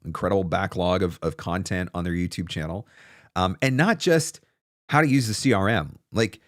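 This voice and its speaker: A man's voice: deep voice, no echo. A man in his forties giving casual, conversational advice as a livestream host, explaining things with emphatic repetition into a close studio microphone. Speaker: livestream host, voice-over coach. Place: small home studio room, indoors. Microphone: close desktop broadcast microphone.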